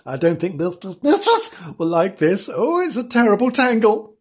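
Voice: mid-range voice, sing-song, moderate pace, slightly rough. A storyteller voicing Frank, a fussy male nursery animal who is eager to please and speaks in a fretful way.

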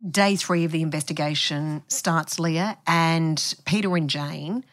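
A woman with a notably deep voice hosts a podcast. She moves between narrating events and interviewing, laying out each stage before asking about it. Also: measured pace, fairly bright tone. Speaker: podcast host.

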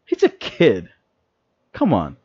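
A young man from the Dominican Republic, co-hosting a podcast in casual chat, excitable and rambling, cursing freely. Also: medium pitch, slow, husky, lo-fi sound. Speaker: podcast co-host.

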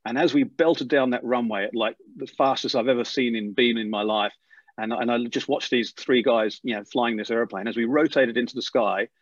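A man's voice: medium pitch, no echo. A middle-aged man with an Australian accent worn down by years in England, telling an interviewer about his path to becoming an airline pilot with plenty of restarts. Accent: Australian, softened by years in England. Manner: animated storyteller, quick with many restarts. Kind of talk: storytelling interview. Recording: slightly dull lo-fi sound.

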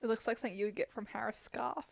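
Bright, teasing young woman. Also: on the high side, steady tone, rapid-fire, gravelly. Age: young adult. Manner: teasing, giggly and upbeat. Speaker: podcast co-host. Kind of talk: casual chat between friends.

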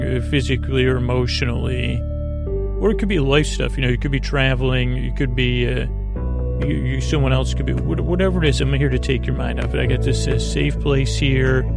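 A man with a creaky voice hosting a bedtime podcast, meandering hesitantly through a soothing, goofy monologue that keeps interrupting itself. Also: mid-range voice. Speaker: podcast host.

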